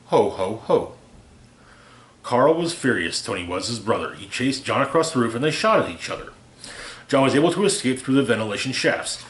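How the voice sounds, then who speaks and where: Guy reading a rhyming xmas parody out loud, kinda theatrical, mid pitch, roomy echo. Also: very animated, moderate pace. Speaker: storyteller reading a picture book aloud. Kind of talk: expressive read-aloud of a rhyming holiday parody. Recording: roomy, echoey home recording.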